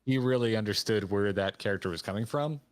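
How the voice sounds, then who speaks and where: A man's voice: on the low side, fast talker, dry recording. A man giving casual, wry reaction commentary on game cutscenes, swearing freely as he co-hosts a stream. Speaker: co-host of a gaming reaction stream.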